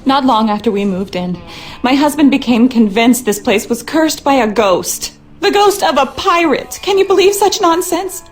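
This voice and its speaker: A woman's voice: high voice, animated, moderate pace, soft background music. An actress playing a worried, skeptical wife and mother, speaking earnestly and dramatically in a game monologue. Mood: exasperated.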